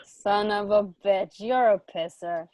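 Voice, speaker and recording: A woman's voice: mid-range voice, measured pace, darkish tone, dry recording, close to an everyday voice. A woman acting a crude, irritable, cocky male gangster in an amateur radio play table read. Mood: amused, crude.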